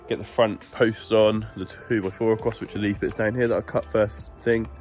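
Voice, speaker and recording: A man's voice: on the low side, flat delivery, moderate pace, darkish tone, soft background music. A man explaining a garden build step by step, recorded outdoors on a phone. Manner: chatty, explaining each step. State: congested, stuffy nose. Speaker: DIY vlogger. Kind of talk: casual building update. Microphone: phone camera.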